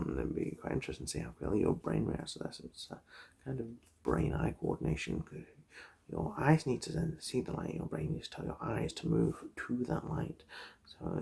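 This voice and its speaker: Male ASMR examiner, gentle and reassuring; very gravelly, breathy half-whisper with lively intonation. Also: medium pitch. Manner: gentle, repetitive reassurance, chatty asides. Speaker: ASMR roleplay host playing an eye examiner. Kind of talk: soft-spoken whispered ASMR medical roleplay.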